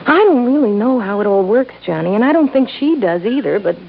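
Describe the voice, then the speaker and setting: Anxious young woman, creaky voice. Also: on the high side, animated. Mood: worried, earnest. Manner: halting, earnest, breaking off mid-thought. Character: anxious, hesitant young woman worried for her aunt. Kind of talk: old time radio drama acting. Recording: dull, faintly hissy old radio recording.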